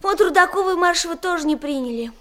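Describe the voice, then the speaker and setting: Studious little schoolgirl, very high voice, earnest and precise, children's radio drama. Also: lively intonation, fast, fairly bright tone. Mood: eager. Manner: earnest, matter-of-fact explaining. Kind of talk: children's radio play acting.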